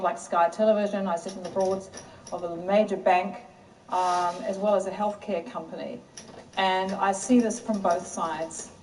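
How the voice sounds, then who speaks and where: A British woman giving a conference keynote, measured and full of ums and uhs. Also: mid-range voice, a little mellow, far from the mic, a little hiss or hum, poor audio. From United Kingdom. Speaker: conference speaker.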